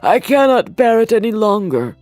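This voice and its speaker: A woman's voice: on the high side, big pitch swings, normal speed, a little mellow, no room sound, soft background music, voice pushed up. A woman voicing an agitated old male miser, distressed and demanding, in a storybook reading. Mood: anguished pleading.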